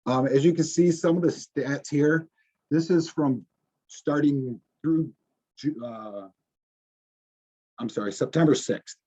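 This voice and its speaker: A man's voice: a little high, slow pace, long pauses, mellow. A man, a police officer presenting slides to a community audience, speaking informally with many ums and restarts. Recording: dull, muffled recording.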